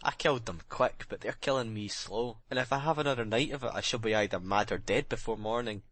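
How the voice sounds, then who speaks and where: A man's voice: mid-range voice, a little fast, a bit bright, no echo, natural voice. A man playing a jealous, haunted sailor giving a bitter, intense murder confession in a dramatic audiobook reading. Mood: haunted, pleading. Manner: intense dramatic confession, plain working-man's phrasing.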